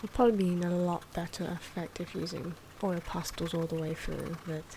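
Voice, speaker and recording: A soft-spoken, hesitant woman giving ASMR commentary on her own drawing, with pencil and marker noises. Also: on the low side, fairly even intonation, brisk, creaky, a little hiss or hum. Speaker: artist narrating own drawing video.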